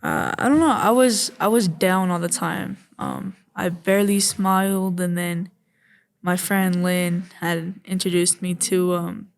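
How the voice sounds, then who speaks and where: A woman's voice: fairly low pitch, fairly even intonation, unhurried, gravelly. A young woman co-hosting a youth podcast, chatting casually and a little hesitantly, often saying "like". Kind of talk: casual personal chat.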